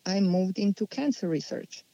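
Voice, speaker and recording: A woman, answering interview questions unhurriedly and pausing as she thinks. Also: medium pitch, animated, gravelly, mellow, no echo, dull audio. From Slovenia. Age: 40s. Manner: unhurried, thoughtful, with many pauses and restarts. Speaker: guest.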